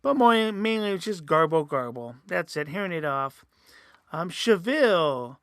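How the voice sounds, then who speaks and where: A man's voice: fairly high pitch, lively intonation, normal speed, fairly bright tone, dry recording, studio quality. A man hosting a casual solo podcast, rambling with many fillers and restarts, his voice sometimes a little nasal. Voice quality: says he sometimes sounds nasal. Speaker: host.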